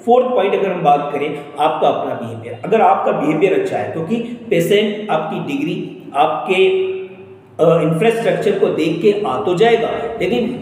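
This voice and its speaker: A man's voice: fairly high pitch, expressive, moderate pace, a little mellow. A man with an Indian accent hosting an educational explainer, talking viewers through advice point by point. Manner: instructional, point by point. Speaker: host of an educational career channel. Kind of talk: explainer video voice-over addressed to viewers. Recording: very echoey room with long reverb.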